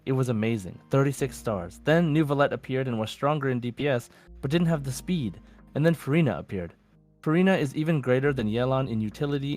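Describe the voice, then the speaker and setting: A man co-hosting a podcast, chatting casually and reading out messages from listeners. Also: medium pitch. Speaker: podcast co-host.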